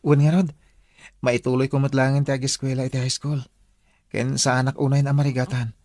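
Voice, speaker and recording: A man's voice: medium pitch, level tone, a little fast, darkish tone, no echo, natural voice. Man acting an earnest Filipino schoolboy, dry studio sound. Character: Lindon, earnest teenage blind schoolboy singer. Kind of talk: Filipino radio drama acting.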